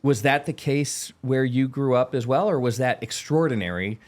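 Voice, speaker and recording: A man's voice: mid-range voice, normal speed. A man hosting a podcast, asking eager, wordy questions that often restart mid-sentence. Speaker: podcast host. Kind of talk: casual interview chat.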